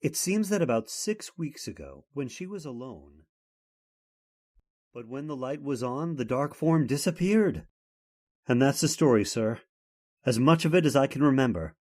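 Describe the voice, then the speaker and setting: A man's voice: mid-range voice, animated, normal speed, long pauses, a little mellow, lightly acted. An actor playing an earnest, worried man seeking help, formal and polite in old-time radio horror style. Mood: earnest, concerned.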